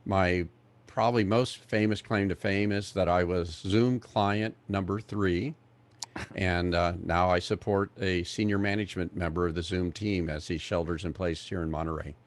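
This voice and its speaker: Deep-voiced older American man. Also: steady tone, normal speed. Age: 60s. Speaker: panelist, education technology specialist. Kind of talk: self-introduction, measured talk. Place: indoors, on a video call.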